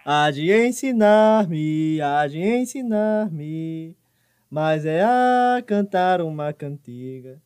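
A man's voice: on the high side, animated, very slow, darkish tone, smooth. A man playing a cheeky, mocking brother-in-law who teases with exaggeration in a comic radio soap opera. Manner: teasing, exaggerated, sometimes mimicking a stutter.

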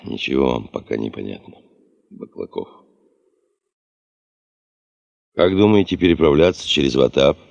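An actor in a radio play playing a stern, sardonic expedition chief who questions a subordinate curtly. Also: male voice, very animated, brisk, darkish tone, exaggerated voice. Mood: needling. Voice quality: very deep gravelly voice. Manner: commanding, curt, probing. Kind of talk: Russian radio play acting. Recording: muffled archival radio recording.

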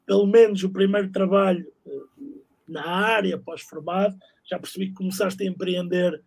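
High, gravelly host. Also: male voice, fairly even intonation, normal speed, darkish tone, low-quality recording. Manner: stammering repeated words. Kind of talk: casual interview.

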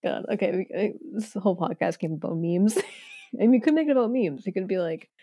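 A woman's voice: medium pitch, animated, fast talker, mellow, no echo. A young woman hosting a podcast in casual chat, hesitant and giggly, with lots of fillers. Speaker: podcast host.

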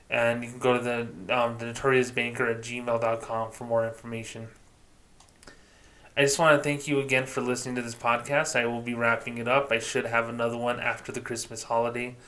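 A male podcast host talking on his own into the mic, casual and loose, with the odd curse word. His voice sits at an ordinary pitch and stays flat and monotone, with little rise or fall. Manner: monotone, self-described; casual, swears freely. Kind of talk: solo podcast monologue.

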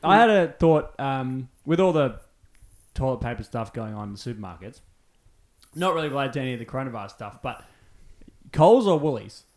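A man's voice: mid-range voice, lively intonation, fairly quick. An Australian man co-hosting a podcast in casual, informal conversation. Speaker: podcast co-host. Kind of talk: casual banter among friends.

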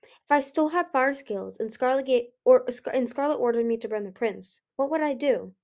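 A woman's voice: sits high, moderate pace, a little mellow. A woman voicing a confused young female dragon warrior in a storybook, protesting in a plaintive, questioning way. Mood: puzzled.

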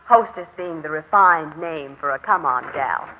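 An actress with an American accent playing an eager, sharp young woman sidekick in a 1940s radio drama. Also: low voice, unhurried, a little hiss or hum. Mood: eager, knowing. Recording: muffled old radio broadcast with music.

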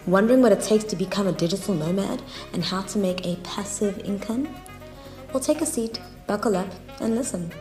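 A woman's voice: medium pitch, a little fast. A woman reads her podcast's opening in an upbeat, inviting tone. The room sounds echoey and faint music runs underneath. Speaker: podcast host. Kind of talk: scripted show intro.

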